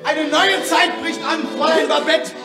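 An actor in a German radio play, playing an earnest, idealistic young Parisian student. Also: male voice, extremely high pitch, steady tone, moderate pace. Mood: earnest, hopeful. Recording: distant, echoing, music and crowd behind.

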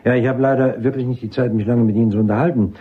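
An actor playing a brisk, authoritative army doctor in a German radio drama, speaking formally and didactically. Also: male voice, medium pitch, steady tone, husky, narrow, tinny audio, close to an everyday voice. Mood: brisk, explanatory. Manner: didactic, formal, clipped military address. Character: brisk, authoritative male army psychiatrist with major's rank.

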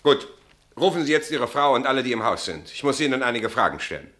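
An actor playing a brusque army captain in a German radio play, questioning curtly and with authority. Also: male voice, mid-range voice, very animated, fast, a bit bright. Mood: probing, stern. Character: brusque, commanding army captain interrogating witnesses.

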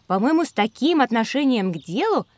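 A woman's voice: a little high, big pitch swings, brisk. A woman playing an inquisitive, polite young schoolgirl in an educational audio drama. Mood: inquiring. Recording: clearly noisy, birdsong behind. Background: birdsong, outdoor sounds.

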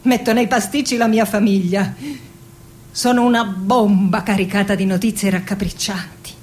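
An actress playing a playful, confessional woman poet recalling her childhood in an expressive theatrical radio monologue. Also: medium pitch, normal speed, natural voice. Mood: confessional, dramatic.

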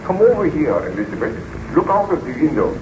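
A man's voice: a little high, very animated, fairly quick. An actor playing a haunted, formal nobleman in the melodramatic style of a 1930s radio serial. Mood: dread. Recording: noisy muffled 1930s radio recording.

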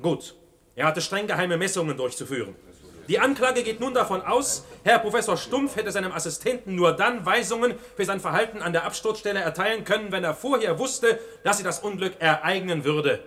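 An actor playing an eager, persuasive defence lawyer, arguing formally in a radio play. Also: male voice, sits high, lively intonation, a little fast, fairly bright tone. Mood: insistent. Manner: formal, argumentative. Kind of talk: German radio play acting.

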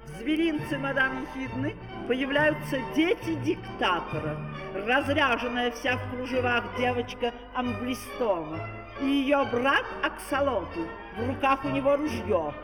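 A high-pitched woman tells a fairy tale as a scripted narrator. Her voice carries a long hall reverb with music behind it, as on an old vinyl record. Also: expressive, a little slow.

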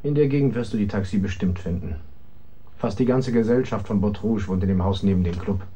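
Low, mellow shady underworld man. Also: brisk, breathy edge. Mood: guarded. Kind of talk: radio crime drama acting.

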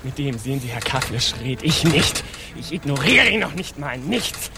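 An actor playing a vain, indignant captive poet in a radio drama, haughty and melodramatic. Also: male voice, medium pitch, big pitch swings, normal speed, very noisy. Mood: snubbing. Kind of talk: theatrical radio drama acting.

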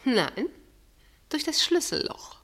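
An actress playing a cornered, anxious woman suspect in a German radio mystery, with a husky voice and emphatic, dramatic delivery. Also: sits high, very animated, slow, a bit bright, full character voice. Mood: defensive. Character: cornered female suspect anxiously defending herself.

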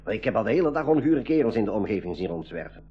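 An actor in a radio play playing a protective, secretive watchman who speaks in a measured, guarded way. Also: on the low side, big pitch swings, breathy edge. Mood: wary, respectful. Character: secretive, protective male watchman, calm and wary. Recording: dull 1980s radio play, faint music.

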